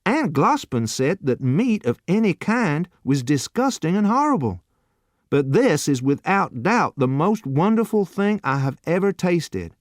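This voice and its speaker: A man voicing a naive, eager seventeen-year-old boy who exclaims with wide-eyed excitement. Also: on the high side, a little slow, no echo, clean studio sound, pitched above the performer's own voice. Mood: puzzled.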